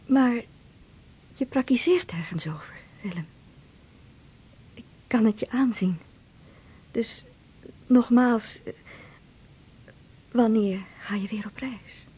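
A woman playing the anxious, persistent wife of a seafarer in a radio drama, with a breathy, hushed voice. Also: fairly high pitch, slow pace, pauses often, muffled recording, heavily acted. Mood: anxious, probing.

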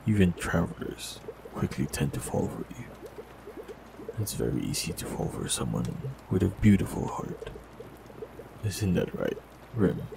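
A man with a very deep, gravelly voice speaks calmly and gently to the listener. His delivery moves freely up and down. A clear hiss sits under the recording. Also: a little slow. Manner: calm, gentle, reassuring. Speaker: warlock in an audio roleplay. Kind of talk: scripted audio roleplay, speaking to the listener.

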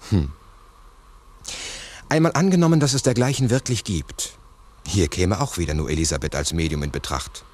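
Casting: low-voiced analytical man, formal and deliberate, 1960s radio play. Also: lively intonation, a little mellow. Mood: pondering. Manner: deliberate, reasoning aloud. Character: sceptical, analytical male investigator, methodical and formal. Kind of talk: 1960s radio drama acting.

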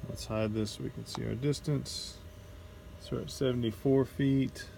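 Casual male vlogger, flat and creaky, unscripted, outdoor phone audio with light noise. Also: mid-range voice, normal speed. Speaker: vlogger testing drone gear. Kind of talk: casual unscripted narration of a field test. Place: outdoors at night in a neighborhood. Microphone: handheld cell phone. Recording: noisy outdoor cell phone recording. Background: outdoor ambience and passing vehicle noise.

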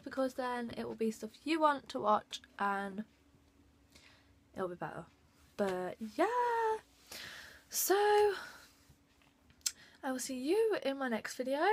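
Slow, rambling young woman vlogger. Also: sits high, fairly bright tone. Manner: rambling, giggly, many fillers. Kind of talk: casual vlog chat. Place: bedroom, indoors. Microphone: phone camera at arm's length.

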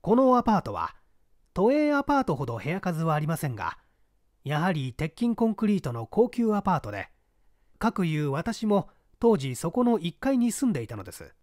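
A young man reading a mystery story as scripted literary narration, with deliberate, measured phrasing. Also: on the high side, huge pitch swings, a little mellow, no room sound, clean studio sound. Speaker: audiobook reader.

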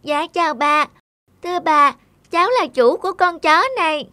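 A woman playing a kind-hearted young female dog owner in an animated moral tale, speaking earnestly. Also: high voice, normal speed, no echo, studio quality. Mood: polite.

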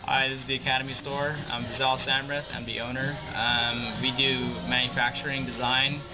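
A young man introduces his store in a level, unvaried voice, brief and plain. The sound is muffled, with loud music underneath. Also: mid-range voice, flat delivery, normal speed. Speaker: store owner. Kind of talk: casual shop introduction.

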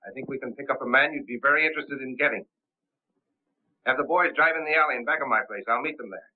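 A confident gentleman sleuth, as an actor plays him, brisk and assured with polite 1930s manners. His mid-pitched voice comes through a thin, phone-like old soundtrack. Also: natural voice. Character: confident gentleman amateur detective. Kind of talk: 1930s film acting. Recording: tinny old film soundtrack.

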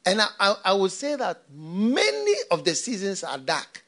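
A middle-aged man from Ghana preaching a sermon into a handheld mic on a church stage, swinging between loud bursts and hushed asides. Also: sits high, wildly swooping pitch, normal speed. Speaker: pastor.

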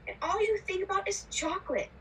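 A woman's voice: extremely high pitch, big pitch swings, a little fast, fairly bright tone, full character voice. A woman voicing an arrogant, irritable know-it-all boy in a puppet show, lecturing indignantly. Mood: exasperated. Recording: distant mic with background noise.

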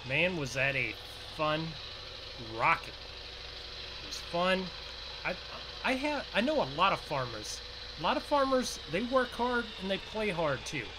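A man's voice: high voice, big pitch swings, measured pace. A man improvising farmer roleplay for a gaming video, rambling in a folksy way as he thinks aloud. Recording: noisy, vehicle engine sounds behind voice. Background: game engine and vehicle sounds.

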